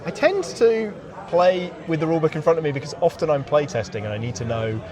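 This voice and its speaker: A man's voice: fairly high pitch, lively intonation, a little fast. A man chatting casually as an interview guest, a game designer talking outdoors at an event. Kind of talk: casual chat. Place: outdoors in a courtyard at a gaming event. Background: crowd chatter.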